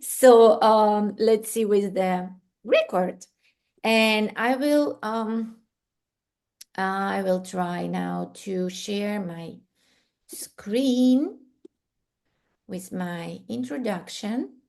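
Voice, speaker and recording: A woman from Ukraine speaks as a course instructor, her English clearly Ukrainian-accented. She goes slowly and haltingly through an informal class introduction, in a mid-pitched voice. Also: a little mellow. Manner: hesitant, halting non-fluent English. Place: online video call with screen sharing.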